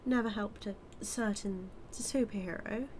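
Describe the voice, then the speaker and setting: Slow, teasing British supervillainess, acted by a woman. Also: medium pitch, darkish tone, slight background noise, natural voice. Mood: coy, needling. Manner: teasing, sly, flirtatious, drawn-out delivery. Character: teasing, smug female supervillain toying with a rival superhero. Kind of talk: intimate audio roleplay monologue.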